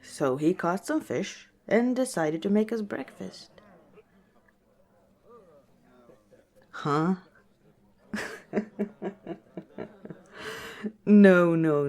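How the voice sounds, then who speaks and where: A woman voices a female orc who runs a village tavern in an ASMR fantasy roleplay. She talks very slowly and gently, in a chatty, friendly way with a teasing streak. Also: fairly low pitch. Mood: chatty, fond. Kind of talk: ASMR roleplay, softly spoken one-sided conversation.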